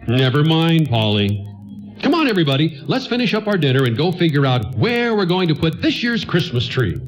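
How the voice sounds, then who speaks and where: A man's voice: on the high side, very animated, fairly quick, over music. An actor playing a harried, good-natured father and producer in a radio play, speaking with theatrical, exasperated emphasis. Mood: flustered.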